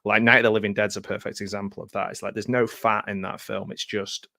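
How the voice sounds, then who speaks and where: A man's voice: fairly low pitch, little pitch movement, fast talker, creaky, bright, forceful tone, no room sound, clean studio sound. A young man with a Stockport accent from Greater Manchester, chatting casually and joking while hosting a film commentary. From England. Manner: chatty, jokey, laughs often. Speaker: commentary host.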